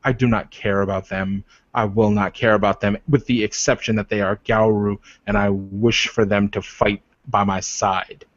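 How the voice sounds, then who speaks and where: A man's voice: lowish voice, little pitch movement, normal speed, few pauses, dry recording, highs cut off, close to an everyday voice. A man playing a proud, wounded werewolf war leader in a tabletop roleplay, speaking formally and defensively. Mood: firm, defiant.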